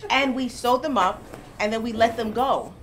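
A middle-aged woman born in Jamaica, a professor answering interview questions in a lively, storytelling way. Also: fairly high pitch, moderate pace, a little hiss or hum. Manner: animated storytelling, gets louder and laughs when amused. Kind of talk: conversational interview. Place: bookstore, seated in armchair indoors.

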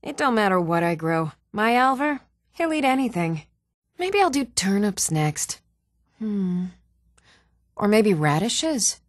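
A composed, earnest woman of a fantasy realm. Her delivery is measured and restrained, at a middling pitch. The recording is dry and close, with no room on it. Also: big pitch swings, no echo. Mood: plain, wistful. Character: even-toned adult woman of a fantasy world, composed and earnest. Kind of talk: scripted video game character dialogue.

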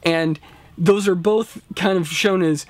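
40s guy w a slightly high voice, dry sound, casual cohost talking thru his picks, kinda halting. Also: steady tone, no echo. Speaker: co-host of a film and TV review show. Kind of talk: casual top-five list discussion. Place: indoor room lined with shelves of books and discs.

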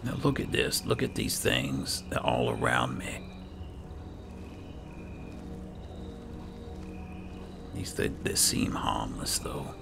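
A man acts out an alchemist adventurer with an extremely deep, heavily creaky voice. He muses like a patient mentor in a video game roleplay. His pitch swings widely as he takes his time. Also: very animated, background noise, exaggerated voice. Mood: watchful, curious. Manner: unhurried, musing, hesitant asides. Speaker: gaming roleplayer. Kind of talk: improvised in-character roleplay narration over gameplay.

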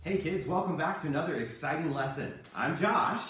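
A man in his thirties presenting a cooking lesson for children, playing the animated straight man. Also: fairly high pitch, brisk, soft background music. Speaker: host of a children's cooking show. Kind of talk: scripted instructional presenting with puppet banter. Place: home kitchen. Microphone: camera a few meters away. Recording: very echoey room, distant microphone.

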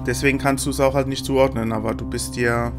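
A man improvising narration as game master of a tabletop game, haltingly and with mid-sentence pauses. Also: mid-range voice, level tone. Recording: guitar music behind the voice. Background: guitar music.

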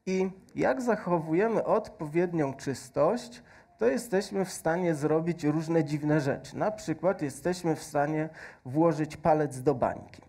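A man in his thirties doing a stage science demonstration, explaining slowly with many fillers and adding a faith message, on a headset mic. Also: a little high, mellow. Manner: slow, patient explaining with frequent fillers. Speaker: science presenter. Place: large stage with audience hall.

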